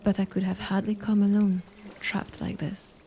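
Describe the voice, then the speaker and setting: Woman playing a mellow, composed monster sister. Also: mid-range voice, completely flat delivery, close to an everyday voice. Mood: grave reproach. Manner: measured, formal, composed. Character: calm, stern younger monster sister with magical voice. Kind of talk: dramatic audio roleplay acting.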